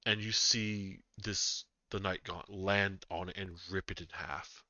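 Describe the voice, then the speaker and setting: Deep-voiced man running the game. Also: steady tone, sharp tone, dry recording. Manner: halting, many ums and restarts. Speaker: game master. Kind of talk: narrating a tabletop game over voice chat. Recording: dull lo-fi mic.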